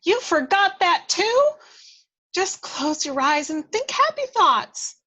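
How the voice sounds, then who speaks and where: A woman's voice: very high-pitched, big pitch swings, slow, bright, forceful tone, voice pushed up, exaggerated voice. A woman playing a tiny, feisty, bossy fairy with a scornful, theatrical delivery in a script read-through. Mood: coaxing, impatient. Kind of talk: hobby table read acting.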